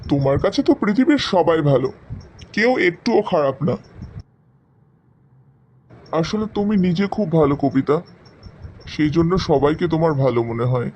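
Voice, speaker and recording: Casting: man as loyal, determined young man for cartoon drama, highish pitch, mellow tone. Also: animated, normal speed, long pauses, natural voice. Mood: caring. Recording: slightly dull sound, faint noise.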